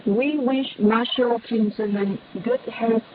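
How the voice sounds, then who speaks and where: A woman's voice: fairly high pitch, steady tone, takes it slowly. A woman radio announcer reading a script formally and reverently over shortwave radio. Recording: noisy, narrowband shortwave radio.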